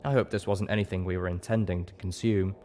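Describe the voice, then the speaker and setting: A man's voice: deep voice, fairly even intonation, quick pace, warm tone, slight background noise. A man reading scripted story narration as an audiobook narrator.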